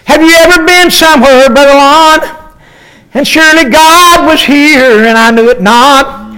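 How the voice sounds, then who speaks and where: An older preacher with a very high voice chants his sermon in a slow, sing-song rhythm. He halts often with filler sounds and calls out to his listeners by name between drawn-out cries. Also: pushed and bright, poor audio. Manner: halting, many filler sounds between phrases. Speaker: pastor.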